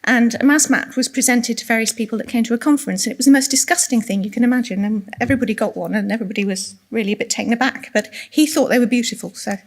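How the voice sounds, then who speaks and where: A woman who is a clinical nurse specialist, giving a soft-spoken, engaging presentation to an audience. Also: on the high side, very fast, hardly any pauses. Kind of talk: conference presentation. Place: conference room.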